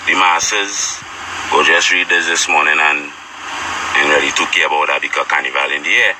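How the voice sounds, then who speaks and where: A man's voice: very deep voice, fairly even intonation, normal speed. A man with a Trinidadian accent giving an emphatic casual commentary on the morning news. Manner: emphatic, repeats "right?" and "here". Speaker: online commentator. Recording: distant mic with air conditioner hum.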